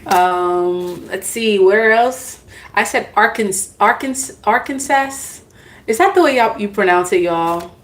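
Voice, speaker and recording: A woman's voice: medium pitch, takes it slowly. A woman whose first language is not English, casually chatting with viewers in a live stream as a tarot reader, greeting them by name and laughing at herself.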